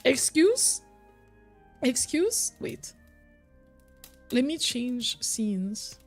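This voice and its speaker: A woman's voice: high voice, big pitch swings, extremely slow, soft background music. A young woman whose first language is French, chatting casually with her viewers on a live stream, full of "like" and repeated phrases. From Quebec, originally Cameroon.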